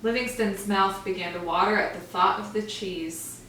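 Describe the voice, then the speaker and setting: A woman teacher reading a picture book aloud to children in a gentle, expressive storytelling manner. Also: mid-range voice, moderate pace, slight background noise. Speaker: first grade teacher. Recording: echoey room, far from mic.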